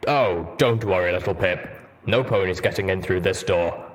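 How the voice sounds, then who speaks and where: A man voicing a stoic, casually cold armoured male pony soldier in an audiobook, in a measured way. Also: low voice, close to an everyday voice. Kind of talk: dramatic audiobook character reading.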